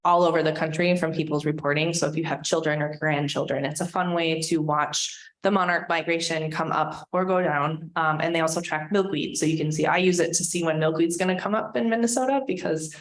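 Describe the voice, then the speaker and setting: A woman's voice: low-pitched, fairly even intonation, fairly quick, few pauses, no echo. A woman giving an earnest public talk on conservation at a church forum, with frequent um fillers. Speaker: conservation presenter. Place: church meeting room.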